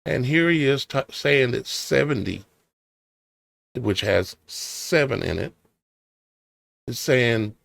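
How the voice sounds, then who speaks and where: A man with a gravelly, fraying voice works through old scripture in a slow, measured cadence. Between readings he wonders aloud, circling back and asking questions. The sound is dry and close, with no room around him. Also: mid-range voice, animated, fairly bright tone, no room sound. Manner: thinking aloud, questioning, hesitant restarts. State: tired, voice starting to go. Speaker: online preacher. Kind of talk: scripture reading with musing commentary.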